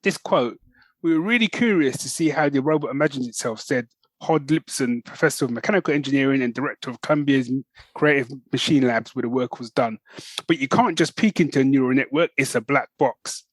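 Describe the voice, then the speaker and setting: A man co-hosts a casual news podcast in a medium-pitched voice. He rambles in loose, everyday language. The sound is dry, with no room to it. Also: a little fast, dry recording. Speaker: podcast co-host.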